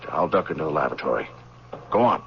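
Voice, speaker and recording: Haunted, brusque male major, gravelly bass, vintage radio drama, muffled and noisy. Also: animated, fast, exaggerated voice. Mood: tense, urgent. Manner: brooding, reluctant, brusque. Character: bitter, haunted male army major, freed prisoner of war. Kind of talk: old-time radio drama acting. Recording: dull, noisy old radio recording.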